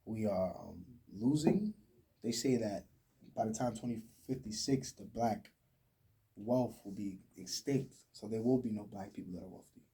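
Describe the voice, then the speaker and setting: Husky, mellow young American man. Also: lowish voice, moderate pace, far from the mic, rough recording. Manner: talkative, emphatic, slang-heavy. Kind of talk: casual podcast conversation with slang.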